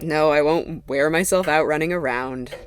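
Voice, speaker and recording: An actress playing a wry, guarded woman in a naturalistic audio drama, talking haltingly and gently. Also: sits low, a little hiss or hum, lightly acted. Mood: frustrated, wistful. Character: Sophie, warm, wry, guarded woman mechanic.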